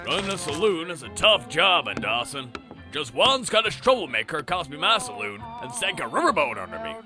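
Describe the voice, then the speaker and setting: A man's voice: on the high side, big pitch swings, a little fast, music in the background. A man acting a scheming, vengeful riverboat saloon owner as a theatrical villain in a fan comic dub. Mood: sly, sour.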